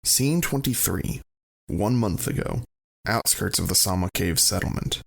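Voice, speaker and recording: A man's voice: fairly low pitch, animated, measured pace, creaky. A man reading scripted scene-setting narration as the narrator of an audio drama.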